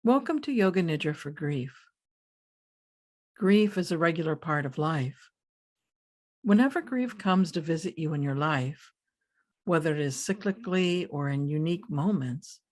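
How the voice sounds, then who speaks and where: A woman leading a guided meditation as a teacher, speaking calmly in deliberate phrases with pauses. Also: low voice.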